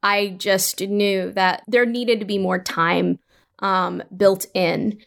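Woman, creative guest in an interview, mid-range voice, careful and halting phrasing. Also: mid-range voice, fairly even intonation, vocal fry. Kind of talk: conversational interview.

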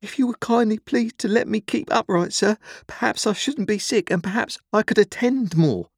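A man's voice: moderate pace, breathy edge, no room sound, studio quality. A man voicing a small, frightened, polite orphan boy in an audiobook, with a thin, pleading voice. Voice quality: thin, high. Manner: pleading, deferential.

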